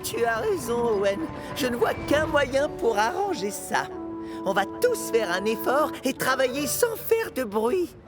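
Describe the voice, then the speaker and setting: An eager, kind young cartoon engine, speaks high and with lively swings of pitch. The delivery is earnest and expressive, with music underneath. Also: female voice, unhurried. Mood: reassuring. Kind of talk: children's cartoon dubbing.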